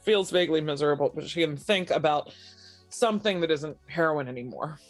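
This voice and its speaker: A woman's voice: sits low, fairly quick, no room sound, faint music behind. A woman playing a tabletop roleplaying game, talking casually out of character about the story.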